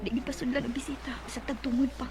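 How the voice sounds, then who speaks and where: A woman's voice: high-pitched, flat delivery, quick pace, crowd noise, natural voice. A woman with a Filipino Bisaya accent playing a caring, worried younger sister who coaxes and frets in a radio drama. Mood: earnest. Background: music.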